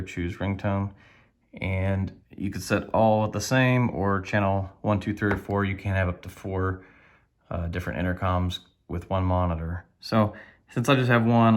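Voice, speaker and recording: A man with a fairly low voice narrates a hands-on product demonstration. He talks casually, feeling his way through each step and thinking aloud as he works the device. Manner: tentative, thinking aloud while operating a device.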